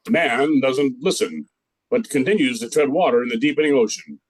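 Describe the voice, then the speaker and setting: A man's voice: mid-range voice, animated, brisk, breathy edge, dry recording, low-quality recording. An older man narrating a scripted radio play in a storytelling style.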